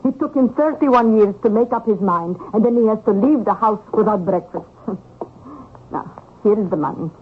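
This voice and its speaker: An actress playing a fretful, pious old Russian peasant mother with a husky voice and an admonishing, emphatic manner, in an old-time radio drama. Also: medium pitch, normal speed, close to an everyday voice. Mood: fond, scolding. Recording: narrow, lo-fi old radio broadcast.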